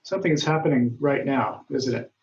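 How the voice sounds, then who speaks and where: A man teaching an English grammar lesson, speaking slowly and deliberately, and raising his voice when he reads example dialogues aloud. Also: mid-range voice, darkish tone. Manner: patient, deliberate, lifts his voice when reading quoted lines. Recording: dull, roomy sound from a distance.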